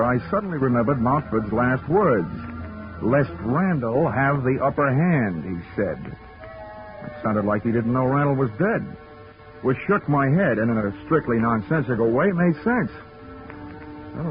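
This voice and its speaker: A man's voice: mid-range voice, natural voice. A dry, unflappable wisecracking investigator, played by a man, narrating and trading barbs. The sound is tinny and narrow like an old broadcast, with music running underneath. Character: wisecracking adult male insurance investigator. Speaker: narrator and lead detective. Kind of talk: 1940s radio acting.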